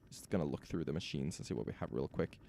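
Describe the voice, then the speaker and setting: A man with a raspy, sore voice, talking himself through building machines while streaming a game. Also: rumbling low, animated, fast, mellow. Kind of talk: casual gameplay commentary.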